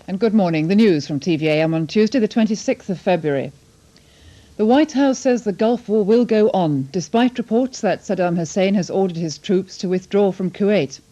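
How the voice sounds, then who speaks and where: A woman's voice: fairly low pitch, mellow, dull audio. A British woman reading scripted television news headlines as a newsreader.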